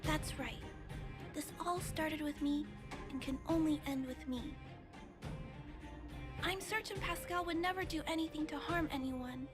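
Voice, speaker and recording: A woman voicing a gentle, earnest young girl in a video game, polite and sincere. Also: high-pitched, unhurried, long pauses. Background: game music.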